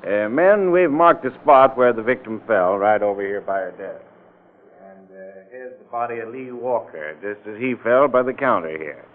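A man playing a measured, official small-town coroner with a deliberate, hesitant manner in a 1940s radio crime drama. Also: medium pitch, lightly acted. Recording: muffled, narrow-band old radio recording.

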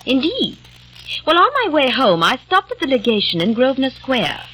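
A woman's voice: sits high, big pitch swings, moderate pace, fairly bright tone, husky, exaggerated voice, poor audio. A suspicious, accusing young lady. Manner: accusing, clipped. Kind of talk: 1940s radio acting.

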